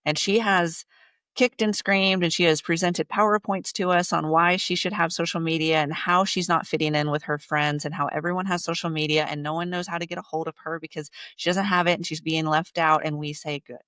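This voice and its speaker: Blunt, forceful woman in her thirties. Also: on the low side, steady tone, brisk, dry recording, studio quality. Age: 30s. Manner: emphatic, blunt, repeats points for effect. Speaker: podcast guest, business coach. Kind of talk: casual interview talk.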